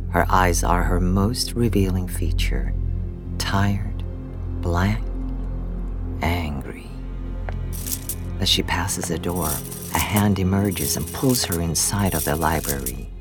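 A man narrating a fantasy audio drama in a scripted, dramatic storytelling style. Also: deep voice. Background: music.

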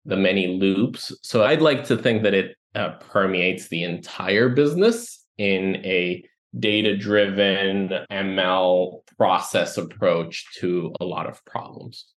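Low-voiced man from Ecuador, explaining. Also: slow, hardly any pauses. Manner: explanatory, lists items, some restarts. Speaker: guest, company co-founder and CTO. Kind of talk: podcast interview answers.

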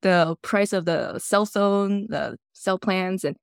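A woman from Taiwan, a podcast guest telling relaxed stories in loosely phrased English. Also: medium pitch, slow pace, vocal fry, smooth, no room sound, clean studio sound. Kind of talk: casual interview storytelling.

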